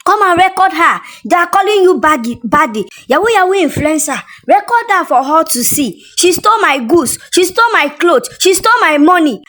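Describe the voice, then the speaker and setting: A Nigerian woman voicing a furious fashion brand owner who loudly scolds and accuses a cheat. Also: lively intonation, brisk, hardly any pauses. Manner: loud, accusing, shrill scolding.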